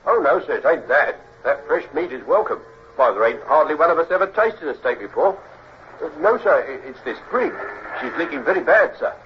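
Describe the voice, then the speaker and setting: A man's voice: on the high side, expressive, brisk, close to an everyday voice. An actor playing a plain-spoken, deferential seaman with rustic, uneducated speech in an old radio drama. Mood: worried, deferential. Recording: dull old radio recording, faint noise.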